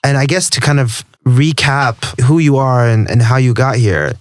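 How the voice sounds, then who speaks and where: A man's voice: medium pitch, dry recording. Man hosting a podcast, dry close sound. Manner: measured, leading questions, recaps guest's story. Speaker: podcast host. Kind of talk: interview hosting.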